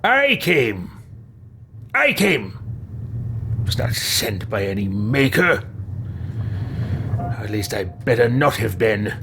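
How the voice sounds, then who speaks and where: A man's voice: on the low side, very animated, slow pace, pauses often, background noise. A man roleplaying aloud over a game as a let's play host, in a pompous, theatrical, put-upon manner. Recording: game music behind the voice. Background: fantasy game music.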